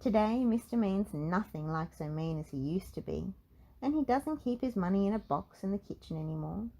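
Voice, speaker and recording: Animated woman reading a children's story. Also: medium pitch, normal speed, creaky. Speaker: storybook narrator. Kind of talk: expressive children's read-aloud narration.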